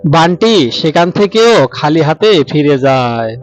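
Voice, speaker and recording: A man's voice: a little high, sing-song, slow, dull audio, soft background music. A man narrating a scripted cartoon story as its storyteller.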